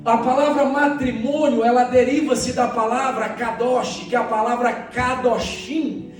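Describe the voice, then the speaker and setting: A man's voice: very high voice, steady tone, measured pace, heavy reverb. Male Brazilian preacher, exhorting and repetitive, delivering a church sermon; distant from the mic with faint music behind. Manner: exhorting, repeats phrases, addresses congregation directly. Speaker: pastor. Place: church hall during a morning service.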